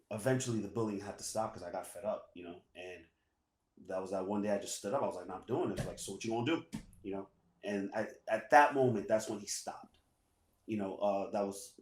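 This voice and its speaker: A man, a Spanish speaker, telling his story casually in an interview and filling pauses with "you know". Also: on the low side. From New York. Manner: relaxed, many "you know" fillers. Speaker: guest, assistant principal. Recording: echoey room.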